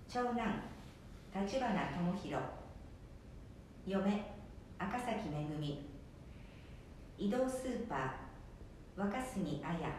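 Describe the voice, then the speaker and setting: A woman hosting an amateur radio show, reading a scripted introduction. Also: darkish tone. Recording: echoey room, distant mic, faint rumble.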